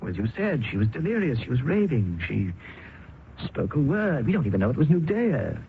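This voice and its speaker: An actor playing a resolute, idealistic colonial doctor in old-time radio drama, earnest and formal. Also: male voice, medium pitch, sing-song, brisk, vocal fry. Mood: dismissive. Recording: tinny, noisy old radio recording.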